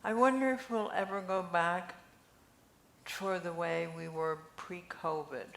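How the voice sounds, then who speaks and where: A woman's voice: fairly low pitch, measured pace, vocal fry, fairly bright tone. An older woman reading the part of a chatty, curious friend in an amateur play. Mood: reflective. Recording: echoey room with faint noise.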